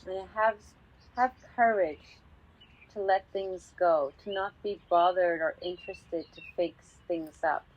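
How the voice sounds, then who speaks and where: Very slow-paced woman guiding meditation. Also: on the low side. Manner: calm, unhurried, with many pauses between short phrases. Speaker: meditation teacher. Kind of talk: guided meditation instruction. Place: outdoors in a garden. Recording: slightly dull sound with birdsong. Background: birdsong.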